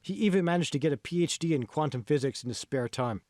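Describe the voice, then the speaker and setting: A man voicing an earnest, forceful male mentor who lectures emphatically in an audiobook. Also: on the high side, fast talker, no echo, clean studio sound, natural voice. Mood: emphatic, lecturing. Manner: emphatic, instructive.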